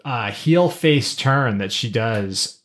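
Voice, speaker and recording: A middle-aged podcast co-host chatting casually, with an informal, halting delivery and slangy asides. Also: mid-range voice, animated. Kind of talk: casual chat.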